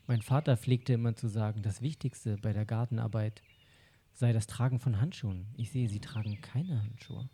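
A man's voice: lowish voice, steady tone, moderate pace, dark tone, breathy edge. A man voicing a young male detective's assistant who keeps asking probing questions.

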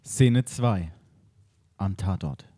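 A man's voice: sits low, extremely slow, mellow, breathy edge, no echo. A man narrates and plays parts in an improvised audio drama. His voice sits in a middle range and sounds close and dry. He keeps a playful, giggly tone. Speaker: narrator and actor.

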